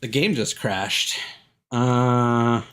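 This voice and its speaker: A man doing casual live game commentary as a streamer, thinking aloud in a rambling, halting way. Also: medium pitch, fairly even intonation, a bit bright, clear, resonant tone. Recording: music behind the voice. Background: game music.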